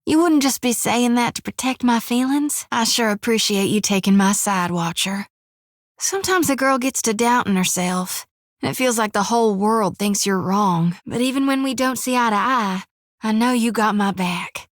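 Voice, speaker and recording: A woman's voice: a little high, moderate pace, no room sound, close to an everyday voice. An actress voicing a young woman priestess in a video game, earnest and lovesick, speaking with folksy country turns of phrase in a dry studio recording.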